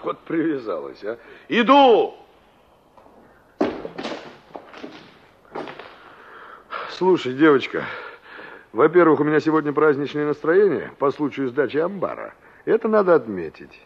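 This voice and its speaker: An actor playing a cocky young carpenter in a Soviet radio play, brusque, teasing and colloquial. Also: male voice, a little high, huge pitch swings, normal speed, a little hiss or hum. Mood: irritated. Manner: mocking, colloquial, gruffly flippant. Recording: dull 1960s radio play recording.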